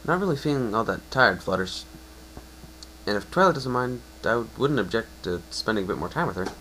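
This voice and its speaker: A man's voice: fairly low pitch, animated, brisk, pushed and bright, slight background noise, rough recording. A man voicing an easygoing, considerate young male pony with friendly, reassuring speech in a fan story reading. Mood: casual.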